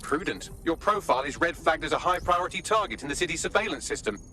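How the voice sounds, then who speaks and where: A man's voice: a little high, animated, fast, sharp tone, slight background noise. A man playing a dry, witty, precise AI assistant in a video game, with formal, droll delivery.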